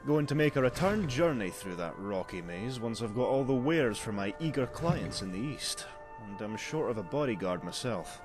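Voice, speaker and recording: A man's voice: lowish voice, lightly acted. An actor playing a weary male traveling merchant with a dry, sardonic way of talking, heard from a game. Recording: game audio over loud music.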